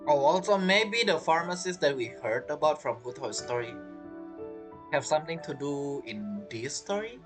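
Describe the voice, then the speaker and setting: Animated man doing casual game commentary. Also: fairly high pitch, moderate pace, music in the background. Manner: rambling, repeats phrases. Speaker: game streamer.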